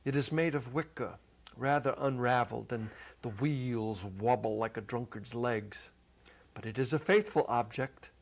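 A man's voice: medium pitch, unhurried, vocal fry. Middle-aged man reading a story expressively. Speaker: narrator, dramatic reader. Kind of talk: dramatic reading of a literary short story. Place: indoor studio set. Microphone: lapel.

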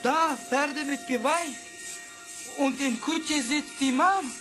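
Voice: high-pitched, takes it slowly, music in the background. A performer playing a streetwise, bewildered schoolboy with blunt slang and dropped articles in an audio drama. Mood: amazed.

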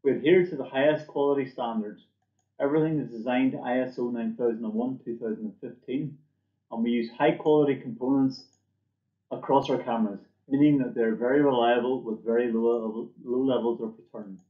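A man's voice: mid-range voice, steady tone, brisk. A middle-aged man giving a scripted product presentation as a company presenter, recorded indoors at a desk. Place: indoor room, seen on webcam. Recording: echoey room, dull muffled audio.

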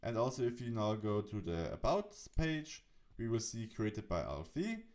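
A man presenting a software tutorial, narrating the setup step by step. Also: lowish voice.

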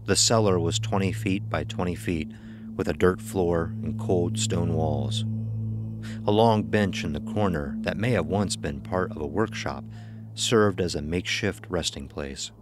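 A man's voice: deep voice, a little slow, over music. A man narrating a scripted horror story, with ambience behind him.

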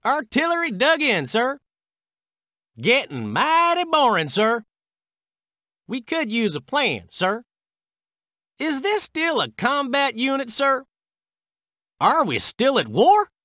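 A high-strung American artillery crewman in a war game. His voice sits high and swings widely in pitch. He delivers clipped military barks with a bright, forceful edge. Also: huge pitch swings, slow, pauses often, dry recording, clean studio sound, full character voice. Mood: brisk, ready, then bored, impatient. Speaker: video game unit voice.

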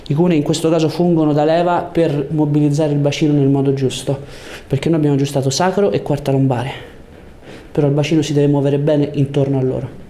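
A man in his thirties speaks as a chiropractor guiding a patient. His voice is mellow and relaxed, giving short hands-on commands with reassurance. It is picked up on a lapel mic in a small room, with faint noise behind. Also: a little high, fast. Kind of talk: calm hands-on instructions to a patient. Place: small treatment room indoors. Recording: noticeable room background noise.

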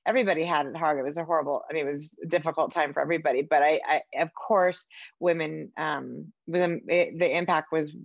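A woman's voice: low voice, steady tone, quick pace, vocal fry, no room sound, lo-fi sound. A woman in her forties hosting a conversational author interview over a video call. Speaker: interviewer host. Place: home room with bookshelves, indoors.

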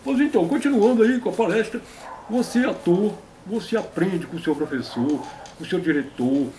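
A man puts on a boastful old grandpa puppet with a very high voice. He is folksy and pompous, lecturing like a veteran of stage and war in a comedy sketch, with faint noise behind. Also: expressive, brisk, dark tone, low-quality recording. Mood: emphatic. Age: older. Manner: pompous, folksy, repeats a stock affirmation. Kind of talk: comic puppet interview.

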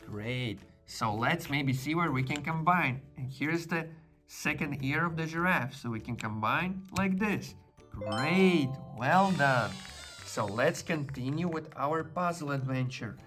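Slow, patient man with soft music. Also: a little high, animated. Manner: patient and praising, often saying "great" and "well done". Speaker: children's video presenter. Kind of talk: slow, encouraging narration to toddlers while doing a puzzle.

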